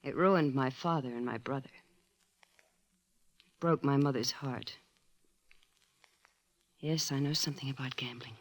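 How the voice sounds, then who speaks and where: A woman's voice: extremely low pitch, a little slow, pauses often. A woman playing an earnest, virtuous young missionary in an old-time radio drama, intense and solemn. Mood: earnest challenge.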